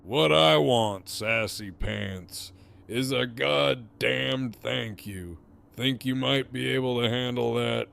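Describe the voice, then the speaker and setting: A man playing a menacing gang boss for an audio drama, his voice heavily creaky and gravelly. He takes his time with every taunt, swaggering, cocky and amused by his own threats. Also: mid-range voice, slow pace, fairly bright tone, exaggerated voice. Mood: smug menace. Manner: swaggering, mocking, drawling. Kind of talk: full-cast fan audio drama acting.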